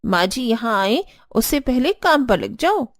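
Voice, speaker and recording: High-voiced bossy elder sister-in-law played by a woman. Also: big pitch swings, a little slow, no echo, studio quality. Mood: resigned, firm. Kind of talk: cartoon story dialogue.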